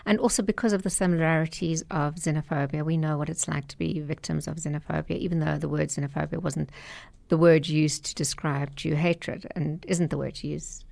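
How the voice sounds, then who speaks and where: A woman's voice: deep voice, steady tone, fairly quick, vocal fry, darkish tone, dry recording. A woman hosting a radio interview show, chatty and personal, with fillers and restarts.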